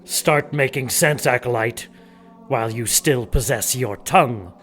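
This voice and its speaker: A man's voice: medium pitch, a little slow, fairly bright tone, soft background music, natural voice. An actor playing a cold, menacing male church leader in an audio drama, threatening and sneering.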